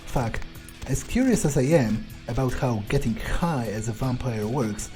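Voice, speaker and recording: A man's voice: mid-range voice, expressive, a little slow, darkish tone, soft background music. A man role-playing a laid-back, wry male vampire in a game playthrough, thinking aloud in a casual, hesitant, sweary way. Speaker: gamer role-playing his character. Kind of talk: in-character game playthrough commentary.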